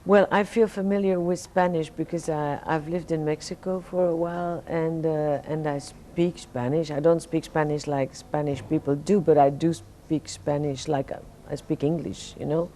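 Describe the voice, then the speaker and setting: A Belgian woman whose first language is French, giving relaxed, halting answers in an interview while sleepy and fighting off jet lag. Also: sits low, a little hiss or hum. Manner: relaxed, halting, many restarts and fillers. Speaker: singer, interview guest.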